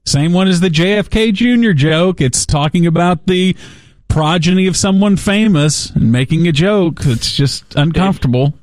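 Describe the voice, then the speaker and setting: A man with wry humor co-hosts a joking talk show, pushing back on the others' jokes. His voice sits slightly high. The recording is close and dry. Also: normal speed, darkish tone, no room sound. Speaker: co-host. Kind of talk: casual comedic banter.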